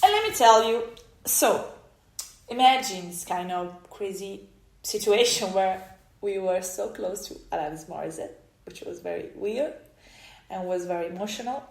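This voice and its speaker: An Italian woman with an Italian accent, speaking English as a second language, tells a personal story in a halting, emotional way with many restarts. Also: mid-range voice, vocal fry. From Italy. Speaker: vlogger. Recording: echoey room.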